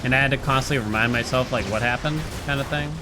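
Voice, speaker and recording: A man streams a video game, talking in a medium-pitched voice. He muses aloud and chats casually with viewers as he plays. Game music runs clearly behind him. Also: quick pace. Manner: musing aloud, conversational. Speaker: livestreamer playing a video game.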